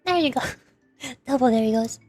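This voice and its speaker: A woman live-streaming a game and chatting in halting, self-conscious asides. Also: sits high, expressive, mellow, breathy edge, faint music behind. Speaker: streamer, virtual YouTuber.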